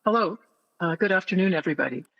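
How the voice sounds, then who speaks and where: A man's voice: high voice, animated, quick pace, vocal fry, a bit bright, no echo, highs cut off. A man from Canada giving a prepared, firm public statement at a council hearing, asking pointed questions. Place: council chamber.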